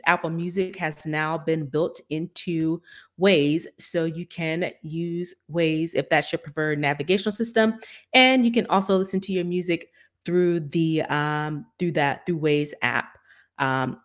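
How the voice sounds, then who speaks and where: A woman's voice: low-pitched, measured pace. A woman co-hosting a tech podcast, explaining news conversationally with frequent ums and uhs. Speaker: podcast co-host. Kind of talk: casual tech news chat.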